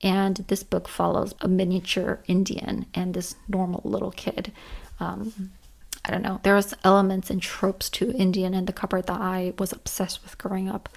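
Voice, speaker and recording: A woman with a tired, hoarse voice talks casually and earnestly through a list of favorites, repeating words for emphasis. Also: medium pitch, flat delivery, normal speed. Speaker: book reviewer, channel host. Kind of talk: casual list-style book and manga chat.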